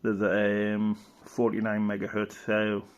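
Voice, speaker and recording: A man's voice: lowish voice, completely flat delivery, measured pace, clean tone. A man giving casual, rambling commentary as he reads through an old catalogue, hesitant and thinking aloud. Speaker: video presenter.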